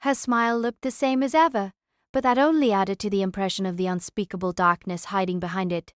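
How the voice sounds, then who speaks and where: A woman's voice: medium pitch, steady tone, brisk, smooth, dry recording, clean studio sound. A woman reading scripted third-person novel narration as an audiobook narrator.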